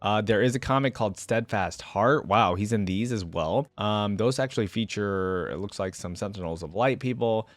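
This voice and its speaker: A man's voice: lowish voice, normal speed, few pauses, no room sound. Man reading and commenting casually, dry close sound. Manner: casual, thinking aloud. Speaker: reaction video host.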